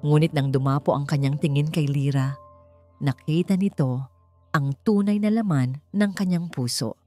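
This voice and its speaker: A woman with a Filipino accent narrating a scripted story in a measured, dramatic way. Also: low-pitched, warm tone.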